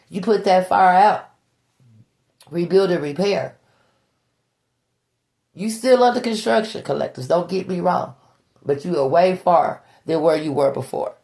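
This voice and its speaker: Blunt, gravelly-voiced woman tarot reader. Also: on the low side, warm tone. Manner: blunt, profane, preachy, repeats herself. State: stuffy nose. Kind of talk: tarot reading monologue.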